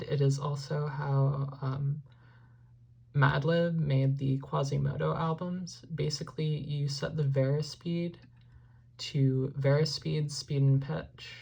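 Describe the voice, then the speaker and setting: A woman's voice: low voice, creaky, warm tone. A woman musician casually explaining how she produces her vocals, rambling with many fillers and apologizing for not making sense. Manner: rambling, self-deprecating, lots of "like" and "um". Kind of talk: casual tutorial.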